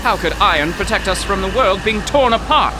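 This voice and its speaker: An anxious, bookish male professor, as an actor plays him in an audio drama. His speech is formal and earnest but very lively in pitch, heard through clear background noise. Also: a little fast. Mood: alarmed. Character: gloomy, anxious poetry professor, bookish and suspicious man.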